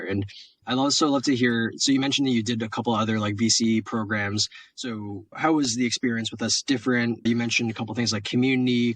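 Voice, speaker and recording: A man's voice: mid-range voice, a bit bright, dry recording, low-quality recording. Casual young man hosting, dry sound. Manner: friendly, casual, says "awesome" a lot and restarts words. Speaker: program founder and interviewer.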